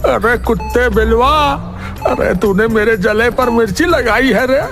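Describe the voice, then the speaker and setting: A man's voice: very high-pitched, measured pace, over music. A man voicing a pompous, bitter middle-aged village headman in a cartoon story, blustering in a rustic way with interjections and curses. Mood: pained, furious. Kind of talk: cartoon moral-story acting.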